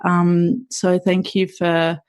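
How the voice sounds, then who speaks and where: A woman from Australia hosting an interview in a thoughtful, affirming way. She speaks very slowly and her voice sits a little low. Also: level tone, a little mellow. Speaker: podcast host. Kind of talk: podcast interview.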